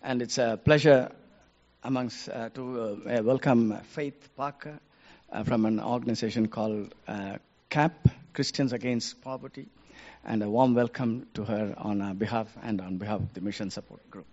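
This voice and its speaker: A man's voice: medium pitch, steady tone, normal speed, a little mellow, lo-fi sound. A man chairing a church mission group, introducing a guest speaker hesitantly with many uhs. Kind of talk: church announcement.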